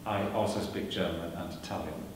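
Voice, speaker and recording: A man whose first language is Welsh lectures in a measured, reflective manner, pausing often with fillers and restarting his sentences. His voice sits a little low. He is recorded from afar in a large, reverberant hall with noticeable background noise. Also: fairly even intonation. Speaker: performer giving a talk. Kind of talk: lecture answering audience questions. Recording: echoey hall recorded from a distance.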